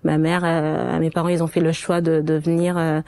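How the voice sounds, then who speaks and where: Low, mellow-voiced woman, halting delivery. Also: flat delivery. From Portugal. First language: not French. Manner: hesitant, frequent stops and restarts. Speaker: interview guest, quality manager. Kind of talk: personal testimony in conversation.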